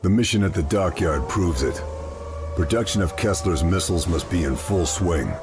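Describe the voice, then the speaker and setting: An actor playing a laconic, stoic American wartime sniper, terse and deadpan, in a video game cutscene. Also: male voice, low-pitched, moderate pace, over loud music. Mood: grim, measured. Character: stoic, laconic WWII military sniper, a man. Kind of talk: dramatic video game cutscene acting and narration.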